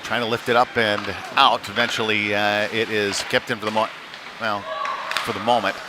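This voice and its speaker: A man's voice: fairly low pitch, little pitch movement, moderate pace. A man giving casual, unpolished live play-by-play commentary on a youth hockey game, his delivery rising with the action. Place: cold ice rink during a live stream. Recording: clear venue noise behind the voice.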